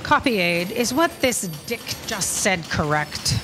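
Woman playing slow, imperious lady boss. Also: mid-range voice, very animated, music in the background. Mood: scornful. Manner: commanding, curt, scornful. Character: imperious, impatient female boss of destiny office. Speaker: stage actor. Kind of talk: staged live audio drama. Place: theatre stage, indoors. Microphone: stand microphone. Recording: noisy live stage recording.

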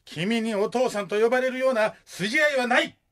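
A man playing a stubborn, soft-hearted middle-aged father with a Kansai accent in a radio drama. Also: very high voice, a little fast. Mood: indignant. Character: stubborn, blustery, soft-hearted widowed father of a young woman.

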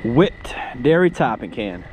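Guy vlogging outside by the water, super lively up and down voice, some background noise. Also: mid-range voice, measured pace. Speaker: magnet fishing video host. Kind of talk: casual outdoor vlog chat. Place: outdoors by the water.

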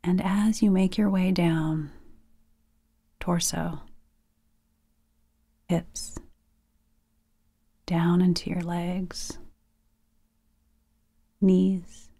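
A woman leading a guided sleep meditation softly and gently, nearly whispering, in short phrases with pauses. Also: lowish voice, level tone. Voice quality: breathy, soft, near-whispered.

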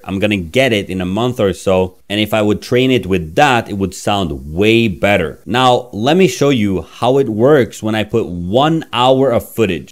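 A man in his thirties from Norway, speaking with a Norwegian accent in a casual, playful software demo. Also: lowish voice. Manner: chatty, playful, self-mocking. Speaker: tech reviewer. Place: small room, indoors.

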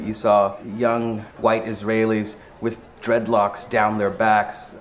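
A man narrating a documentary in a measured, reflective and articulate way. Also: fairly low pitch. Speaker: visual artist presenting the film.

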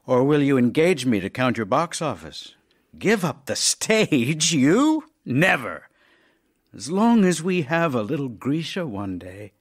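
A man's voice: mid-range voice, big pitch swings, measured pace. A man playing a devoted, anxious writer husband in an audio drama of love letters, with a theatrical, yearning delivery. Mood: tender, teasing.

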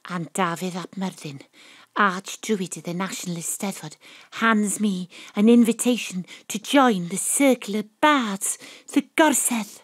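Audio drama lead: proud female sleuth narrating, dramatic, slightly husky, close dry sound. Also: medium pitch, a little slow, no echo. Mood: proud, moved.

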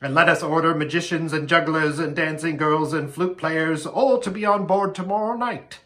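A man voicing an anxious, eager male faun, courteous and breathlessly excitable, in a storybook reading. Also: on the high side, moderate pace, pitched above the performer's own voice. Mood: excited.

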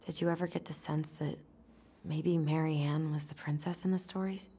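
Actress as low-toned, thoughtful young woman. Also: steady tone, moderate pace, warm tone, slight background noise, natural voice. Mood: wistful. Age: young adult. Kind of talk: naturalistic video game acting.

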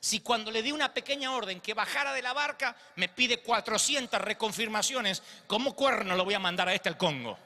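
A man's voice: high-pitched, a little fast, hardly any pauses, sharp tone. A man, preaching a theatrical stage sermon into a handheld mic and acting out the quotes. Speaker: pastor. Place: large glass church auditorium. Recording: long hall reverb.

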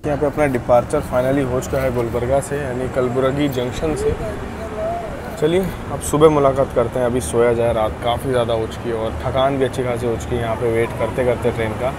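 A young man talks casually to his viewers as he films a night train trip on a phone held out at arm's length. His voice sits at an ordinary middle pitch, and the platform's ambient hum stays clearly audible behind him. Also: steady tone, a little fast. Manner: chatty, addresses viewers directly, drops to a hush at night in the coach. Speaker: train travel vlogger. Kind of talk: casual travel vlog commentary. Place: railway platform at night, then inside a sleeper coach. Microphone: phone camera held at arm's length. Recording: clear outdoor station noise behind.

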